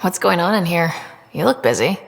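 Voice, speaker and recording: A woman's voice: fairly low pitch, expressive, moderate pace, gravelly, breathy edge, heavily acted. A woman playing a young leader of a space exploration team in a video game, speaking casually and directly. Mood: friendly. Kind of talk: video game dialogue acting.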